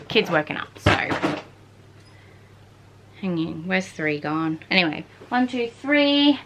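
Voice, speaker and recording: An Australian woman in her twenties, chatting casually and informally in an excitable way, like a book vlogger. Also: mid-range voice, a little hiss or hum. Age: 20s. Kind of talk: casual chatty vlog talk.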